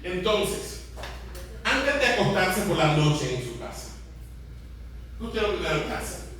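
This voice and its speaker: A man's voice: sits high, big pitch swings, a little slow, a bit bright. A man with a Puerto Rican Spanish accent preaching a teaching sermon as a pastor, often putting questions to the congregation. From Puerto Rico. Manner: interactive, putting questions to listeners. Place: large echoey room, church service. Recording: very echoey hall, distant, faint noise.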